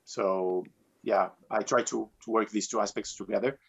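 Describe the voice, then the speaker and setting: Male interview guest from Tunisia. Deep-ish, heavy fry, halting and reflective delivery, lo-fi call sound. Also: steady tone. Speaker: guest, professional free diver. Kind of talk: conversational interview answers. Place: remote online call. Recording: dull lo-fi online call.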